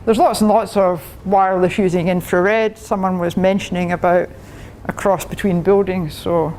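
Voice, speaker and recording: A woman's voice: on the low side, fairly even intonation, moderate pace, a little mellow, a little hiss or hum, low-quality recording. An older Scottish woman, a professor, giving a chatty technical talk to a club, laughing often and restarting her sentences. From Scotland. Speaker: guest speaker, professor.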